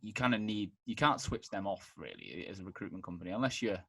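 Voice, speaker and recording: A man's voice: sits low, lively intonation, fast talker, creaky, bright, forceful tone, slightly rough, no echo. A man hosting a podcast in a casual, chatty way, informal and restarting his questions mid-sentence. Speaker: podcast host. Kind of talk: casual chat interview.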